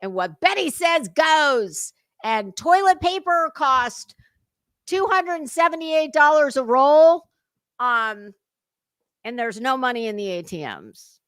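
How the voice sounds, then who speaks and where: A woman's voice: high voice, lively intonation, takes it slowly, a bit bright, no room sound. A woman giving casual reaction commentary as a livestream host, sarcastic, mocking and foul-mouthed. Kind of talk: casual reaction commentary over a played clip.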